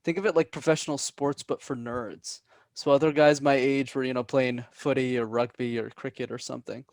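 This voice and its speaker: Clear-spoken young man with gravelly edge. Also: mid-range voice, fairly even intonation, a little mellow, no echo. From Canada. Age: young adult. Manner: clear deliberate enunciation, coaching tone. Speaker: public speaking coach, guest. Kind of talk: podcast interview.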